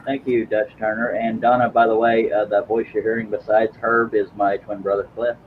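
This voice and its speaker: A man with a lowish voice hosts a casual workshop live stream, rambling along with self-deprecating asides and stumbling over his words. The recording sounds slightly dull, with a faint hum of noise behind him. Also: flat delivery, moderate pace. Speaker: host of a woodworking live stream. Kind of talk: casual live-stream chat while working.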